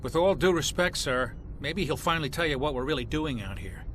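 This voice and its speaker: An actor playing a suspicious but respectful navy officer in a video game, emphatic and questioning. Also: male voice, fairly high pitch, expressive, a little fast, a bit bright, a little hiss or hum. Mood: skeptical. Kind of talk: video game cutscene acting.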